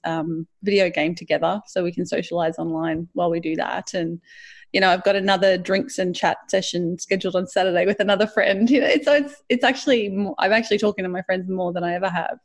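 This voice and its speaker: A woman's voice: lowish voice, gravelly. A woman chatting casually as a podcast co-host. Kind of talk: casual chat.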